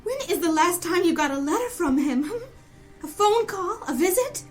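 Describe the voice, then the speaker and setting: An actress playing a defiant, anguished young daughter of a rich family in a noir audio drama, earnest and formal. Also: female voice, very high voice, lively intonation, moderate pace, faint music behind. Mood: defiant, accusing. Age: young adult. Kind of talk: noir radio drama acting.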